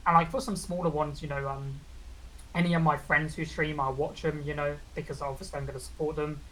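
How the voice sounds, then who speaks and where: A man's voice: on the high side, little pitch movement, a little fast, pushed and bright, a little hiss or hum, poor audio. A young British man with a regional accent, a VTuber guest chatting casually and rambling with lots of fillers. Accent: British, non-London regional. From Britain. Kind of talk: casual interview chat.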